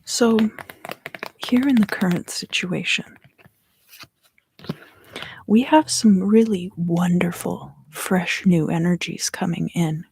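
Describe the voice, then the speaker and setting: Husky, whispering woman reading tarot slowly. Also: on the low side, fairly even intonation, no room sound, poor audio. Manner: whispered and soft-spoken, unhurried. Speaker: tarot reader and ASMR host.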